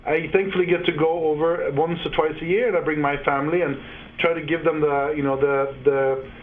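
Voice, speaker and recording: A man, a guest on a video call who speaks haltingly with many fillers. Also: a little high, steady tone. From Norway. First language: Norwegian. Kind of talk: interview over a video call. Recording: tinny internet call line.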